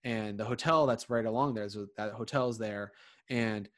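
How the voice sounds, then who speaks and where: A man's voice: fairly low pitch, normal speed, a bit bright. Rambling man from Connecticut, chatting. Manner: rambling, lots of fillers and restarts. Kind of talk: casual chat.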